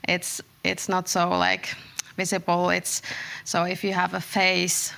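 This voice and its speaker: Female panelist, very fried low voice, hesitant delivery. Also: vocal fry, a bit bright. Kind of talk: panel discussion.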